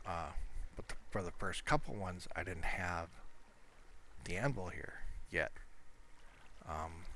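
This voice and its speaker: A man giving casual, rambling, low-key commentary on his own video game play. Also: sharp tone, a little hiss or hum. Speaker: gamer narrating own gameplay.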